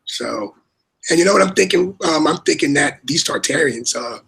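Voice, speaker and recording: A man with a raspy voice chatting casually as a livestream co-host, rambling and repeating his words. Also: fairly high pitch, animated, moderate pace, fairly bright tone, rough recording. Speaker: co-host and moderator.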